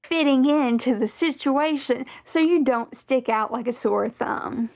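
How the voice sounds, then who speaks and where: A woman's voice: sits high, measured pace, a little mellow. A young woman with a Southern US accent co-hosting a casual fan podcast, chatty and rambling with many fillers. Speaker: podcast co-host.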